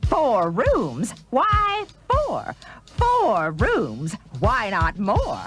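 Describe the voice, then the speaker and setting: A woman playing a demanding, excitable fisherman's wife in a musical children's story, exclamatory and insistent. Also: a little high, faint music behind, heavily acted. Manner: exclamatory, insistent, slow and deliberate.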